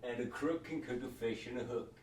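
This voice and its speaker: An actor playing a cryptic, probing stranger who talks in riddles with a theatrical, taunting manner. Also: male voice, mid-range voice, brisk, close to an everyday voice. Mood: eerie chanting. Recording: echoey, distant, noisy room.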